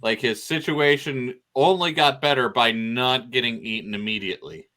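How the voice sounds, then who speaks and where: Bright-voiced man in casual chat. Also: medium pitch, moderate pace.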